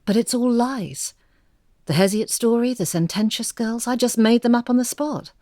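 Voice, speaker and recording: A woman's voice: fairly high pitch, normal speed, warm tone, no room sound, studio quality, lightly acted. An actress playing a defensive, guilt-ridden middle-aged woman in an audio drama, speaking in an earnest, protesting way. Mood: confessional, defensive.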